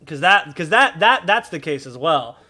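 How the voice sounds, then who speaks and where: A man giving casual live game commentary as a streamer, thinking aloud in a halting, musing way. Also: on the high side, sing-song, pushed and bright.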